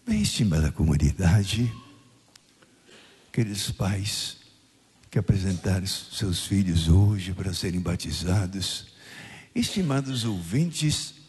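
An older Brazilian priest from southern Brazil, reading the gospel and preaching a homily at mass, with emphatic rising phrases and questions put to the congregation. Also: male voice, low voice, a little mellow, breathy edge. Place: church. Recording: radio broadcast of a mass in a reverberant church.

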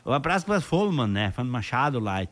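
A man's voice: medium pitch, sing-song, fast, fairly bright tone. A man hosting a casual radio show, greeting listeners and telling jokes with frequent laughter. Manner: jokey, chatty, laughs often. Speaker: radio host. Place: radio studio.

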